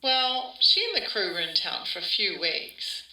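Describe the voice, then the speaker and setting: A woman's voice: on the low side, lively intonation, moderate pace, pushed and bright. A woman playing an older woman who rambles wistfully through childhood memories in a conversational, interview-style podcast drama. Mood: remembering. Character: wistful older Australian woman reminiscing about her small-town childhood. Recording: roomy echo, distant from mic.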